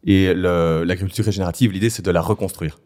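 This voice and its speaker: A man hosting a podcast, introducing his guest and asking questions in a measured way. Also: deep voice, steady tone, a little mellow. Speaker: podcast host. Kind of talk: interview hosting.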